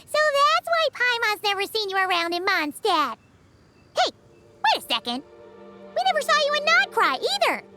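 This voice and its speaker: Super high squeaky lil fairy-like game sidekick, cheeky, loud music behind. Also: female voice, sing-song, normal speed. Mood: curious, perky. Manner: speaks of herself in third person, exclaims. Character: tiny chirpy childlike floating female guide companion, cheeky. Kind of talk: video game voice acting.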